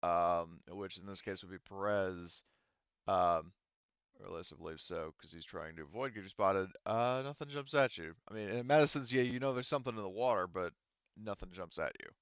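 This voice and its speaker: A man acting as game master in a tabletop roleplaying game, explaining casually and haltingly as he thinks aloud. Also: low voice, a bit bright, clear, resonant tone, dry recording, highs cut off.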